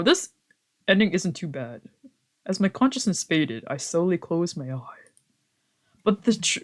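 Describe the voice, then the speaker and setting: This is a game streamer with a mid-pitched voice. The delivery moves between casual, slangy chat with some swearing and reading visual novel text aloud.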